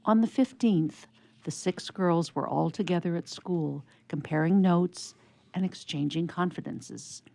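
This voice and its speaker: A woman's voice: fairly low pitch, unhurried, gravelly, mellow. A woman narrating a scripted story for radio theatre in a storybook style.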